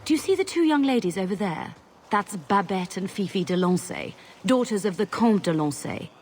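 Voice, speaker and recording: A woman's voice: mid-range voice, lively intonation, moderate pace, a little mellow, a little hiss or hum, close to an everyday voice. Poised, gossipy society woman played by an actress. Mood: amused discretion. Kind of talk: video game cutscene acting.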